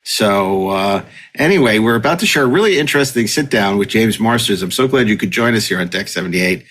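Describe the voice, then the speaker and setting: A man hosting a podcast in casual chat, with a halting delivery that often repeats words. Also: on the low side, animated, fairly bright tone. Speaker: podcast host.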